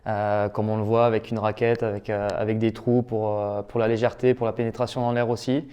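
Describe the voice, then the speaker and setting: A young man, a pro athlete answering interview questions in an enthusiastic, talkative way, often pausing on "euh". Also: fairly low pitch, flat delivery, dark tone, clear, resonant tone. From Toulouse, France. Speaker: professional padel player, guest.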